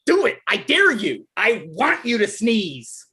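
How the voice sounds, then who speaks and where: Actor as an exasperated, slightly husky self-important novelist. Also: male voice, high voice, wildly swooping pitch, slow, pushed and bright, full character voice. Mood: indignant. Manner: argumentative, exasperated. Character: defensive, self-important male novelist, whiny and quick to anger. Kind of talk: live table read of a screenplay.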